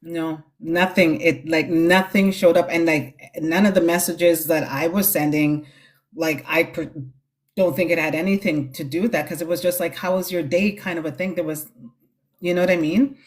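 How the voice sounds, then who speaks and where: A woman's voice: lowish voice, fairly even intonation, moderate pace. A woman hosting a casual live stream, giving spiritual guidance in a reassuring, chatty way. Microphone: phone on a live stream.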